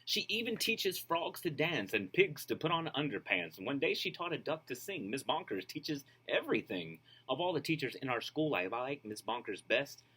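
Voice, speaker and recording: A man reading a children's storybook aloud, expressive and rhythmic, with casual asides. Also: medium pitch, normal speed, hardly any pauses, slight background noise, rough recording. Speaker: storybook reader.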